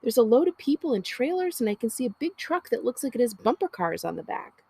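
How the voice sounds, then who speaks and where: A woman's voice: a little high, big pitch swings, quick pace, dark tone, breathy edge, voice pushed up, exaggerated voice. A woman reading a storybook as a defiant, anxious younger sister of about eleven, earnest and indignant. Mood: insistent. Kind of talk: children's storybook character reading.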